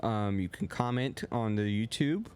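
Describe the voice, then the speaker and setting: Young male co-host, lower voice, loud and rambling, casual podcast chat. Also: a little slow, clean tone. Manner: loose and rambling, heavy on filler words; talks a little loud.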